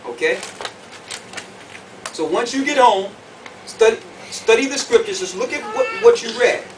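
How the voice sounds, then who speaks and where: High-voiced male preacher, distant noisy recording. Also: wildly swooping pitch, measured pace. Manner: emphatic, repeats phrases, puts questions to listeners. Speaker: preacher and Bible teacher. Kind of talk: sermon, conference teaching to a group. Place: conference room with an audience. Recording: distant mic, clearly noisy room recording.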